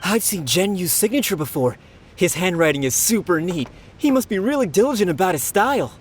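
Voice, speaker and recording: A man's voice: high-pitched, sing-song, brisk, slight background noise. A man voicing an eager book fan, a minor townsperson in a video game. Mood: eager, admiring. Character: eager male festival-going novel fan.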